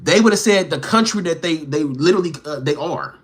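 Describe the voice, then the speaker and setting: A man's voice: a little high, lively intonation, a little fast, husky. A man livestreaming, bantering with and teasing his chat in slangy street talk, firing challenging questions.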